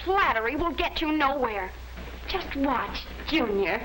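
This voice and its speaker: An actress playing a gushing, hot-tempered American teenage sister in a 1950s sitcom-style film. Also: female voice, high voice, animated, unhurried, a bit bright. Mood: indignant. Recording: noisy vintage 1950s film soundtrack.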